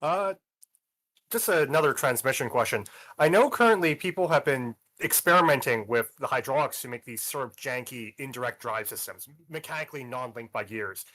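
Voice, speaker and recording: A man's voice: medium pitch, expressive, normal speed, pushed and bright. A man who is a community member, asking a detailed technical question in a live developer Q&A with a nervous, stumbling manner.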